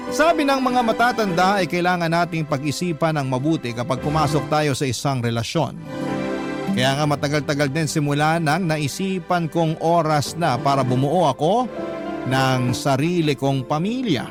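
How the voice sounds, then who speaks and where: A man's voice: fairly high pitch, normal speed. A man reading a listener's letter as a radio host, in a dramatic storytelling style. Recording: loud music bed behind the voice. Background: loud background music.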